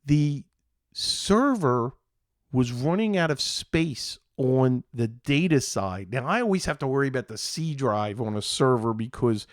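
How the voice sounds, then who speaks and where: A man hosting a tech show, talking casually and unscripted as an IT technician, often pausing mid-sentence and repeating phrases. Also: medium pitch, lively intonation, dry recording, studio quality. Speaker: IT support technician.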